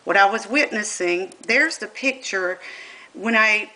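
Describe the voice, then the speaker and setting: A woman's voice: mid-range voice, animated, a little slow, pushed and bright, low-quality recording. A woman with a Southern US accent filming her computer screen and talking insistently to her viewers. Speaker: vlogger. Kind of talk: casual monologue. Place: indoors at a computer.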